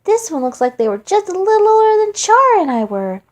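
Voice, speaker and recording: A woman's voice: very high-pitched, sing-song, fairly quick, voice pushed up. A woman voicing a cruel young male flower monster, falsely sweet and mocking, in a storybook reading. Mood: taunting. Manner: sing-song, falsely innocent, taunting.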